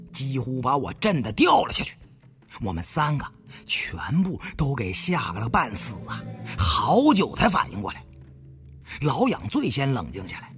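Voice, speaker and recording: A man narrating a story as an audiobook, with expressive, theatrical phrasing. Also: a little high. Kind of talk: dramatic first-person audiobook storytelling.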